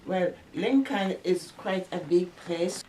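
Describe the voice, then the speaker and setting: A Jamaican woman in her seventies slowly recalling her family and village in an oral history interview. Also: lowish voice, lively intonation, darkish tone, slight background noise. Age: 70s. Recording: roomy, distant mic.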